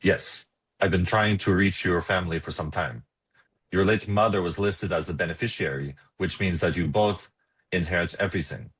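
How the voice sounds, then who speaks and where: A businesslike estate lawyer in a comedy reading, played by a man. His voice is low and husky. He is formal and matter-of-fact. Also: moderate pace, fairly bright tone, poor audio. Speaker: actor reading at a script table read.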